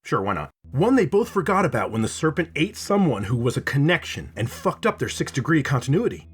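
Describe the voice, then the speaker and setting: A man's voice: a little high, sing-song, brisk, slightly rough. A man narrating a scripted review as the host of the show.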